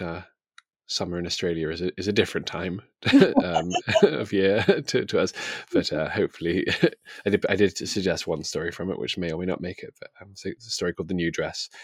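A man with a fairly low voice chats about books as a podcast co-host. He talks casually and tentatively, often correcting himself mid-sentence. The sound is close and dry, with very little room around it. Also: slightly rough, no room sound. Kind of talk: casual book chat.

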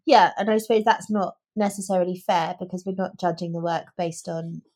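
A woman's voice: on the low side, little pitch movement, a little fast, a little mellow. A woman talking casually about books as a podcast host, earnest and opinionated. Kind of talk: casual book discussion.

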